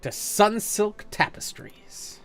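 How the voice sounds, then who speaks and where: A man's voice: high voice, lively intonation, slow pace, fairly bright tone, soft background music. A man with a slight cold, chatting casually and hesitantly as a streamer, with lots of "uh"s. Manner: rambling, hesitant, many "uh"s. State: slight cold, a little congested. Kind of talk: casual live-stream chat.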